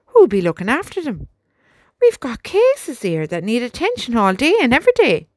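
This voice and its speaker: A woman's voice: a little high, wildly swooping pitch, moderate pace, no room sound, clean studio sound, pitched above the performer's own voice. A woman reading aloud, voicing an indignant male army sergeant who pleads for his horses. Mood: pleading. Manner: pleading, rising to outrage.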